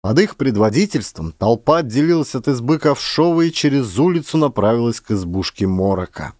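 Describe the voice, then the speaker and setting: A male audiobook narrator performs a literary tale. His pitch moves in lively swings, and the recording is dry and close, with no room sound. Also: no echo.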